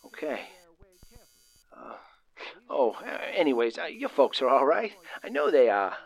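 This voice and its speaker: Nervous, kindly young working man, played by a male actor, halting and bashful, widely swinging intonation, old-time radio drama. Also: mid-range voice, very animated, vocal fry, dull audio, full character voice. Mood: reassuring, hesitant. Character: nervous, kindly young factory worker caught in invasion. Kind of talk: 1930s-style radio play acting.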